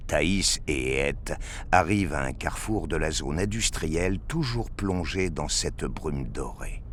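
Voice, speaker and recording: Deep, slow male dramatic narrator. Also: a bit bright, slight background noise.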